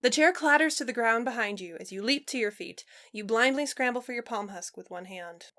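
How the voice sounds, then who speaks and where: Bright, higher-pitched woman narrating fiction. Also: brisk. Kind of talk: expressive scripted story narration.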